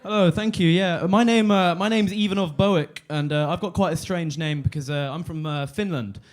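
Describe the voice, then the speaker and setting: A man in his twenties doing a deadpan stand-up set on a comedy club stage, halting with fillers and restarts. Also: high-pitched. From London. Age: 20s.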